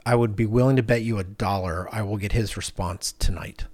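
A man's voice: lowish voice, fairly even intonation, fairly quick, darkish tone, no echo. Man in his fifties, dry close sound. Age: 50s. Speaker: podcast host and blogger. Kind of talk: conversational discussion, reading out responses.

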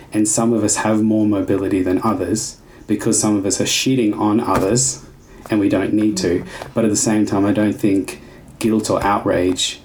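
Low, flat-voiced reflective man. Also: a little hiss or hum, poor audio. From Australia. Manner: reflective, searching for words, long winding sentences. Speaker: dance teacher. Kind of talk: thoughtful casual conversation.

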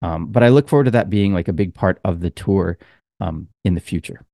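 Lower-voiced man, rambling podcast chat. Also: a little fast, mellow, no echo, clean studio sound. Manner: rambling storyteller, many fillers. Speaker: podcast co-host. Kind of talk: casual chat.

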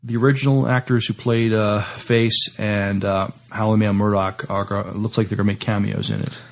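An American man co-hosting a casual entertainment news chat, with a halting delivery and frequent repeated words. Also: fairly low pitch, level tone, vocal fry. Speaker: podcast co-host.

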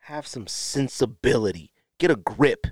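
A man with an American accent giving opinionated solo podcast talk in a blunt, emphatic way, with drawn-out pauses and repeated phrases. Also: medium pitch, lively intonation, husky, no echo, studio quality. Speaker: podcast host.

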